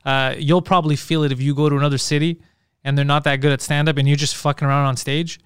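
A man from Montreal telling a story in a casual podcast chat, animated, swearing freely and laughing often. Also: a little high, quick pace, smooth, no echo, clean studio sound. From Montreal, Canada. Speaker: comedian guest.

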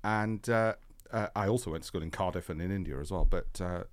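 A man's voice: low voice, fairly quick, dry recording. A man from Leeds, the guest in a casual interview, joking and self-deprecating as he tells stories. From England (Leeds).

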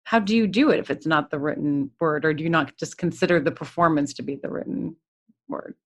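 A woman's voice: low-pitched, lively intonation, a little fast. A woman hosting an interview, affirming her guest and asking probing questions. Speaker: radio interview host.

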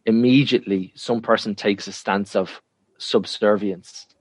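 A man's voice: fairly low pitch, fairly even intonation, measured pace, darkish tone, no echo. An Irish man answering interview questions in a frank, emphatic way, often repeating himself to drive a point home. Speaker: interview guest.

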